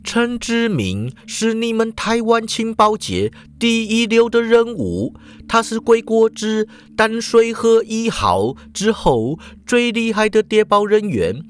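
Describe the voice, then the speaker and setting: A man's voice: high voice, big pitch swings, slow, clear, resonant tone, voice pushed up, heavily acted. A man voicing a blunt male secret agent who scolds and lectures sternly. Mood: explaining.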